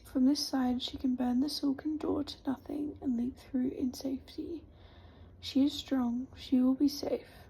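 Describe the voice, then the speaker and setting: A woman whispering the lines of a calm, reassuring young man in a storybook reading. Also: high voice, unhurried, vocal fry, husky, heavily acted, low-quality recording.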